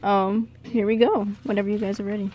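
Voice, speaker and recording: Woman chatting casually on a radio show, normal mid pitch. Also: little pitch movement, fairly quick, lo-fi sound. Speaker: radio show cast member. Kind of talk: casual studio chat.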